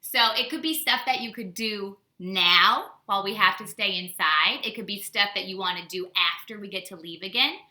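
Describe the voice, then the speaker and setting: An American woman, a teacher, giving a casual storytime lesson to young children in an animated way with simple wording and rhetorical questions. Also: mid-range voice, moderate pace, hardly any pauses. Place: indoors at home. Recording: roomy, echoey indoor home recording.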